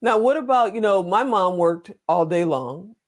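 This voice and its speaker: Man, very high register, chatty talk-show interviewer with an informal, joking delivery. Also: animated, normal speed.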